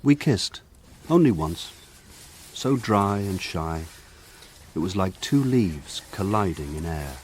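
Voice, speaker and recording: An actor narrating a radio drama as a grown man remembering his village boyhood, in a lyrical, measured way. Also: deep voice, lively intonation, darkish tone, slight background noise. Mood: wistful.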